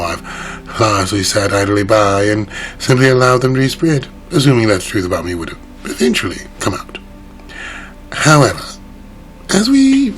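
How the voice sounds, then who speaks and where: A low, suave Antichrist voiced by a man in a dramatic audiobook monologue. He is patient and self-assured, with wry condescension and weighty pauses. His delivery swings widely from hushed trailing words to high, pointed emphasis, with music underneath. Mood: wry, confiding.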